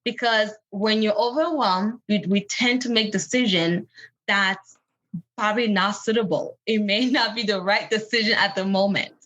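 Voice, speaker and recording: Beninese woman coach, French-accented English, bright tone, encouraging and earnest. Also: mid-range voice, moderate pace, dull audio. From Benin, West Africa. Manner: earnest, encouraging, light laughs. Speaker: empowerment coach guest. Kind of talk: podcast interview.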